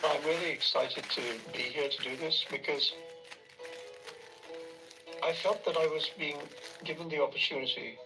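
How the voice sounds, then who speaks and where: A man speaking in a measured, articulate way in a scripted promotional clip. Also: on the high side, rough recording. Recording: loud music bed under voice.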